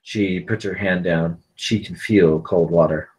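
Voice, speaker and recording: Male narrator for screenplay action lines at a table read, notably low-pitched voice. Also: steady tone, a little slow, a little mellow, low-quality recording. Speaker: stage-direction narrator.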